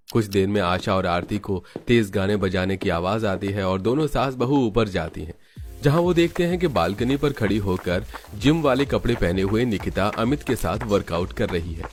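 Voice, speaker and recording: A man narrating a scripted family cartoon story. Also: fairly low pitch.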